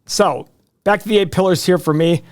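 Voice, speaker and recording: A man's voice: sits high, normal speed. A man hosting live stock earnings commentary, talking conversationally and explaining things directly to viewers. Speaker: finance show host.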